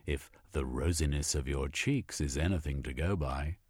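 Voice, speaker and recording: A man's voice: very deep voice, moderate pace, husky, dry recording. Brief: cocky upper-class adventurer, read by a male narrator, pompous and breezy, dry close sound. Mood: jaunty. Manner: jaunty, upper-class bluster. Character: pompous, bluff gentleman explorer.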